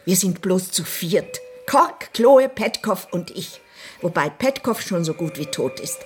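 Bossy older lady, lively pitch. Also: unhurried, soft background music. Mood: chatty, wry. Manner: imperious, wry, teasing. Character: bossy eccentric older lady of a run-down villa. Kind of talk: radio play acting.

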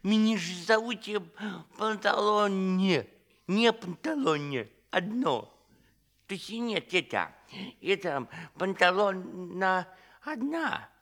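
An actor playing a bumbling, befuddled old clown in a theatrical comedy, halting and repeating himself. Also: male voice, high-pitched, expressive, a bit bright, exaggerated voice. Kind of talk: theatrical comic radio play acting.

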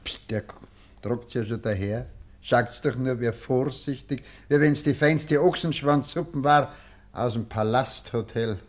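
A man's voice: medium pitch, a little slow, natural voice. A man playing a brash, scheming ex-convict tramp with a Bavarian accent in a German radio drama, sly and sardonic.

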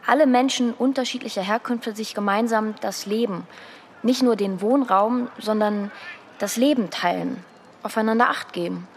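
A woman's voice: a little high, moderate pace, a little hiss or hum. A woman speaking in an explanatory way.

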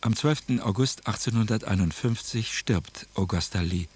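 A man's voice: fairly low pitch, normal speed, darkish tone, husky. A man narrating a scripted radio drama in a sober, factual manner. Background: violin music.

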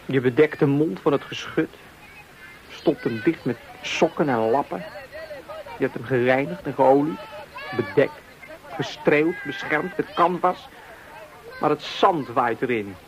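An actor playing a bitter, obsessive soldier in a poetic radio play, with insistent, repetitive phrasing. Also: male voice, mid-range voice, a little slow, pauses often, natural voice. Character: bitter, obsessive male soldier tending his gun. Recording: noisy radio play recording.

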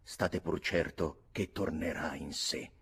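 A man's voice: extremely low pitch, steady tone, slow pace, a bit bright, husky, heavily acted. A man reading an audiobook, voicing a worldly, persuasive older army captain giving advice. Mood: coaxing. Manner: coaxing, advising.

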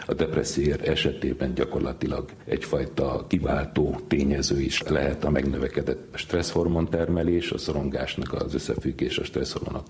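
A middle-aged man, a stress researcher, calmly explaining science in an interview. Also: a bass voice, slight background noise. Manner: measured, explanatory.

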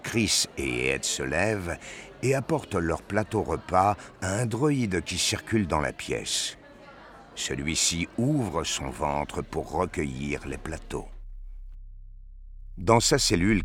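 A man's voice: a bass voice, expressive, takes it slowly, fairly bright tone. A man narrating a scripted science fiction audio drama in the manner of a storyteller.

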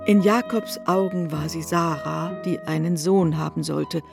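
A woman narrating a literary radio drama, measured and expressive. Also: low voice, gravelly, music in the background.

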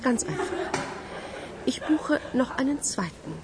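A woman's voice: high voice, very animated, unhurried, background noise, heavily acted. An actress playing a stubborn, self-assured woman patient in a radio crime drama, speaking insistently and dryly.

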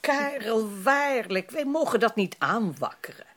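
A proper, fretful middle-aged mother in a period radio drama. Her husky voice rises and falls widely with genteel emphasis. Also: fairly high pitch, big pitch swings, moderate pace, a bit bright. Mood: worried, disapproving.

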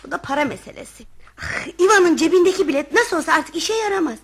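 Chattering society wife, radio comedy, vain, coquettish, high-pitched, very animated. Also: female voice, quick pace. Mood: scheming, eager. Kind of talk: radio theatre comedy acting.

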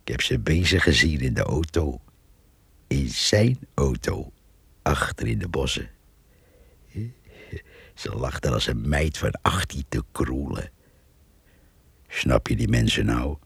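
An actor playing a gruff, gossipy farmer who narrates a radio drama in a slow, musing, grumbling manner. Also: male voice, extremely low pitch, fairly bright tone, no echo, heavily acted. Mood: wry, gossipy. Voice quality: gravelly, husky. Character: gruff rural farmer narrating his diary, gossipy and wry.

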